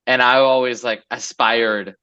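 Bright-voiced man, relaxed rambling talk. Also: medium pitch, measured pace, smooth, highs cut off. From Los Angeles. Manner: relaxed, rambling, many fillers. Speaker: guest entrepreneur. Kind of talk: casual interview chat.

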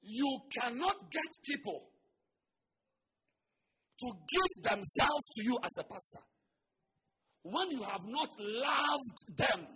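A man with a Ghanaian accent preaching a sermon as a pastor. He swings from quiet, joking asides to loud shouts. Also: very high-pitched, big pitch swings, slow, pauses often, breathy edge.

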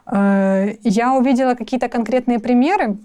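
A woman's voice: a little high, normal speed, warm tone, clean tone. A young woman from Ukraine, a guest in a conversational interview, speaking thoughtfully with frequent restarts and hesitations.

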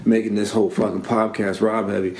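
A man who does stand-up comedy, chatting loosely on a podcast with a deep voice, swearing freely and rambling. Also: little pitch movement, a little fast, a little mellow. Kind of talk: casual podcast chat.

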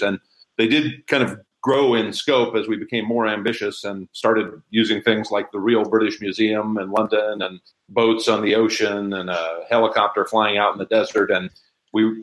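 Low-pitched middle-aged man telling stories. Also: fairly bright tone, low-quality recording. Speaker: interview guest. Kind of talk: casual storytelling.

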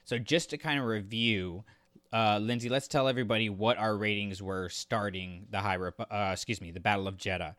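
Clear, bright-voiced man hosting a podcast. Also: lowish voice, fairly even intonation, fairly quick, no room sound. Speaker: podcast co-host. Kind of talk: casual book discussion and recap.

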